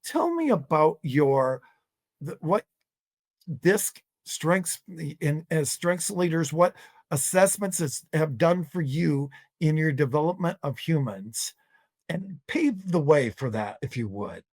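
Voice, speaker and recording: Slightly high-voiced man hosting an interview. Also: sing-song, slow pace, no echo. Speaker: podcast host and interviewer. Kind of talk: conversational interview.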